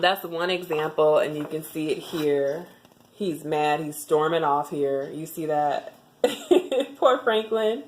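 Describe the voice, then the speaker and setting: Young woman, low voice, relaxed vlog tutorial, chatty and halting with ums. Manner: chatty, with frequent ums and restarts. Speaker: vlogger sharing language tips. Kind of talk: casual tutorial talk to camera.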